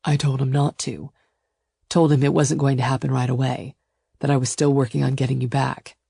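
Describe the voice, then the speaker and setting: A woman voicing a fierce, possessive male lover in a romance audiobook, with a low growl and an emphatic, insistent delivery. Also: little pitch movement, brisk, vocal fry, warm tone, husky, dry recording, studio quality, exaggerated voice. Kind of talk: audiobook romance character dialogue.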